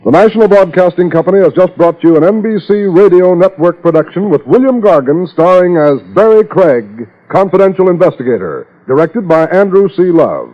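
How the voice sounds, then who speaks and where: Male 1950s American network announcer, slightly high voice, formal credit reading, dull vintage recording. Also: expressive, brisk. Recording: dull vintage radio recording, music behind.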